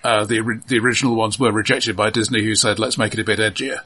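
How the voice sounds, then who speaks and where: A man co-hosting a casual film discussion, explaining in a halting, considered way. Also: fairly low pitch, steady tone, pushed and bright, slightly rough. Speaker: podcast co-host.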